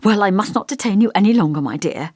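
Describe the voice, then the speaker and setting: Woman voicing a fussy, genteel old vicar, swooping pitch. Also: lively intonation, fast talker, darkish tone, no echo, clean studio sound, natural voice. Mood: genial, fussy. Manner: pompous, old-fashioned, genteel, musing. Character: elderly male village vicar, kindly, fussy, long-winded. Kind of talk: audiobook character dialogue.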